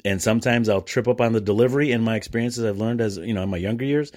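A middle-aged American man telling personal stories as a podcast host, chummy and animated, often acting out bits of conversation. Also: fairly low pitch, fast talker. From United States. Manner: animated, self-describedly chummy and jokey; acts out quoted exchanges. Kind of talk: casual solo podcast monologue, storytelling.

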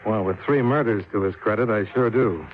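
An actor playing a cool, businesslike insurance investigator in a 1950s radio drama, with clipped, matter-of-fact delivery. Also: male voice, lowish voice, a little fast, close to an everyday voice. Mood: probing, skeptical. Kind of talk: 1950s radio drama acting with first-person narration. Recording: dull 1950s radio recording, music behind.

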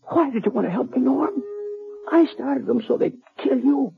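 An American actor playing a gravely injured, remorseful cowboy in a 1950s radio western, husky, weak and gasping. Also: male voice, extremely high pitch, moderate pace. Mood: pained, remorseful. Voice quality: husky, creaky, strained. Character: gravely injured cowboy, jealous husband turned remorseful and forgiving. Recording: muffled 1950s radio recording, music.

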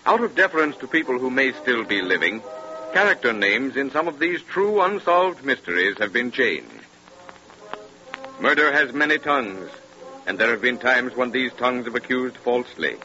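Male grave true-crime narrator, deliberate 1930s radio drama delivery, very forceful, muffled old broadcast sound. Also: mid-range voice, sing-song, soft background music. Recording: dull, lo-fi 1930s radio recording.

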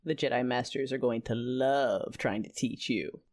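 A woman's voice: extremely low pitch, steady tone, unhurried, darkish tone. A woman acting as an intense, passionate Jedi knight, narrating her own story in a confessional, introspective audio drama style. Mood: teasing, tender. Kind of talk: first-person audio drama narration with dialogue.

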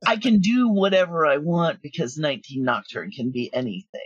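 A woman talking casually as an interview guest, telling stories in an animated way with quoted asides. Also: sits low, fairly quick, breathy edge, no echo, highs cut off. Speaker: audio drama creator, interview guest.

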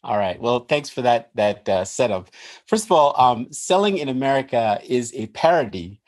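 A man from Nigeria, a podcast guest, explaining ideas in an animated way and laughing as he talks. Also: medium pitch, normal speed. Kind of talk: casual interview talk.

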